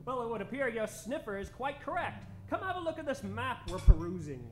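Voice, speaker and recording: An actor playing an eager, fearless doctor adventurer in old-time radio style, with theatrical, old-fashioned diction. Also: male voice, very high voice, sing-song, a little fast. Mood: genial, curious. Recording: roomy stage recording, music underneath.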